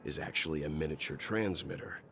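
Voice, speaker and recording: An actor playing a composed, protective veteran hero in a video game, speaking in a measured, formal, serious manner. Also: male voice, a bass voice, a bit bright, slight background noise. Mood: grave, analytical. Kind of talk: video game voice acting, dramatic dialogue.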